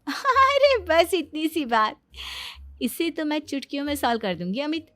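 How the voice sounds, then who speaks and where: A woman's voice: sits high, sing-song, unhurried, a bit bright, dry recording, heavily acted. A woman voicing a boastful, breezy young daughter-in-law who knows everything, in an acted comedy family story. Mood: smug, confident. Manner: boastful, breezy, self-assured. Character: cocky know-it-all young daughter-in-law, proudly showing off.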